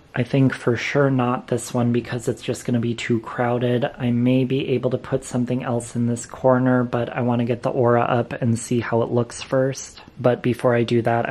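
Flat, mellow-voiced man vlogging. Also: medium pitch. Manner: even, matter-of-fact updates. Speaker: vlogger showing a fan collection. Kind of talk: casual vlog narration. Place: basement display room with exposed joists.

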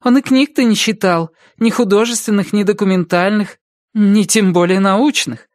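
A woman's voice: mid-range voice, normal speed, dry recording, clean studio sound, voice pushed up, lightly acted. A woman voicing a wary, firm mother who doubts what she is told, in an audiobook reading. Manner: skeptical, firm.

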